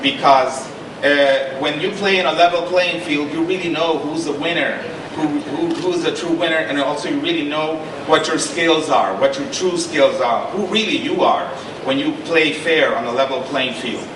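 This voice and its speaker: A man's voice: a little high, normal speed. A middle-aged man from Honduras giving an earnest panel talk, restarting phrases and checking in with his audience. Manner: earnest, repeats and restarts, stresses points with "okay?". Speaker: panelist and Spanish lecturer. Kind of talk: panel talk with personal story. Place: lecture hall. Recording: echoey hall, mic from a distance, noisy.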